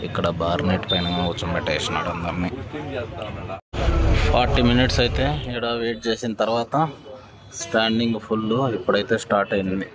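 A man's voice: lowish voice, brisk, a loud crowd, low-quality recording. A man narrating a casual bus travel vlog, chatting to his viewers as friends. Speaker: travel vlogger. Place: on a bus and at bus stands, outdoors. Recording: phone audio with loud road noise.

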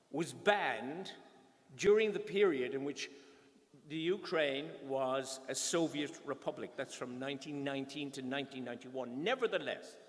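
An older man presenting a concert, speaking in a measured, explanatory way from a lectern. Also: medium pitch. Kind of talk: stage announcing. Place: large concert hall on stage. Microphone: lectern microphone. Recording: long hall reverb.

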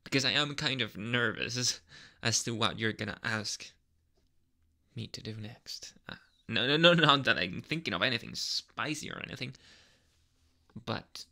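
A man's voice: medium pitch, moderate pace, vocal fry, sharp tone. A man playing a flustered tsundere anime boy with a secret crush, stammering and hesitant in an intimate ASMR boyfriend roleplay. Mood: nervous, bashful.

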